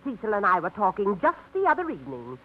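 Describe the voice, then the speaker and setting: Fussy prim small-town wife, swoopy pitch, old radio play. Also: female voice, wildly swooping pitch, quick pace. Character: prim gossipy middle-aged woman librarian's wife. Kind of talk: 1950s radio acting. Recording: tinny old radio broadcast.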